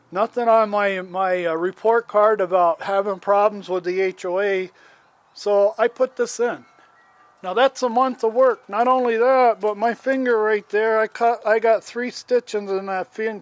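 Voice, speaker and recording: An older man in his seventies talks outdoors into a handheld phone, airing an aggrieved complaint about his yard. His voice sits high for a man and he takes his time. He rambles and restarts in a plain, homespun way. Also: no room sound. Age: 70s. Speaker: homeowner. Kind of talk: casual complaint vlog, filming as he walks.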